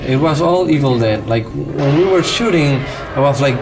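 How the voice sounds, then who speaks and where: A man's voice: mid-range voice, animated, moderate pace, vocal fry, music in the background. A man from Cuba with a Cuban accent and Spanish as his first language, a film director talking casually and animatedly in an interview.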